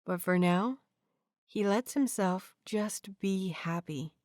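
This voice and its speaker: A woman's voice: mid-range voice, slow, darkish tone, no echo. Woman reading a story, dry close sound. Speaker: narrator. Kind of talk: fanfiction audiobook reading.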